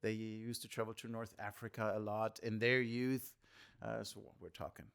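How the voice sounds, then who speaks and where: Low-voiced German-born man, measured. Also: level tone, vocal fry, a bit bright, smooth. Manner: measured, with frequent "uh" fillers. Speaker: tour guide, guest. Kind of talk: interview answers. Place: indoor shop or venue.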